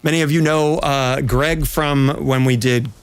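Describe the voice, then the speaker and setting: A man's voice: medium pitch, fairly even intonation, unhurried, clean tone. A man hosting a casual livestream, chatting in a playful, rambling way. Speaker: pinball stream host.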